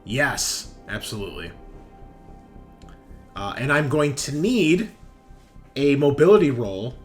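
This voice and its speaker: A man's voice: mid-range voice, sing-song, slow. A man narrating a tabletop roleplaying game as game master, improvising in a casual, conversational way. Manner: casual, conversational, acts out characters' lines. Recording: loud background music. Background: music.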